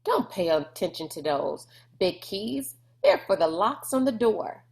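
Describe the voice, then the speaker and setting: A woman's voice: mid-range voice, very animated, unhurried, gravelly. Lady doing a pushy motel boss guy from a kids novel, folksy, braggy, mocking, takes his time. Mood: bossy. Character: gruff, boastful, bullying Southern man, a motel boss.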